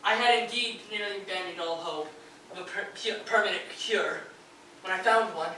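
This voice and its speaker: Echo-drenched man acting a high, anguished grieving poet. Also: normal speed, pushed and bright. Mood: bitter grief. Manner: fervent, declamatory, swelling into anguished outbursts. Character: grief-stricken, nervous, anguished male poet mourning his wife. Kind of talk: theatrical dramatic reading of poetry and letters. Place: live performance in a radio station's performance room. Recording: very echoey live room, distant mic, faint noise.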